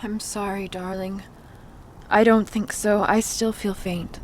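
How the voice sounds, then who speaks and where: A woman playing a soft, affectionate young heroine in peril in a retro audio thriller, delicate and halting. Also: mid-range voice, flat delivery, slight background noise, lightly acted. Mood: faint, shaken.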